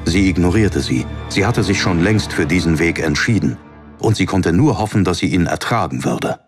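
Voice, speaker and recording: A man's voice: sits low, lively intonation, normal speed, faint music behind. A man reading a dramatic fantasy audiobook as narrator.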